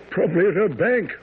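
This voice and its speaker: A bumbling, eager sidekick in a radio play. He blusters and hesitates in a high-pitched male voice, heard through thin, tinny broadcast sound. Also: slight background noise. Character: eager, bumbling, incredulous male detective's companion. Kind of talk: radio drama acting.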